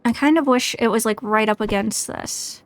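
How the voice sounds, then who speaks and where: A woman's voice: a little high, moderate pace, a little hiss or hum. A woman game streamer chatting casually and thinking aloud in a tentative, musing way as she plays. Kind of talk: casual live gaming chat, thinking aloud. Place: indoors, livestream.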